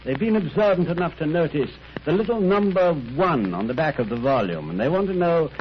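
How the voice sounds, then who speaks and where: An actor playing a genial, gentlemanly detective's companion who tells stories conversationally in 1930s radio style. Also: male voice, a little high, animated, fairly quick, lightly acted. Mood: genial, sly. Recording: dull, noisy 1930s radio recording.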